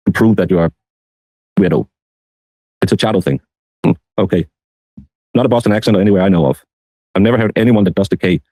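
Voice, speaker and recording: Male streamer, mellow dark tone, close dry sound, meandering casual commentary. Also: lowish voice, dry recording, rough recording. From Denmark.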